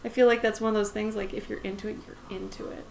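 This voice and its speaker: A young woman with a somewhat raspy voice, chatting casually while she draws on a livestream and talks to her dog and to viewers. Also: mid-range voice, little pitch movement, quick pace, darkish tone, a little hiss or hum. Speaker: livestreaming pet portrait artist. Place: home art studio with plastic bins.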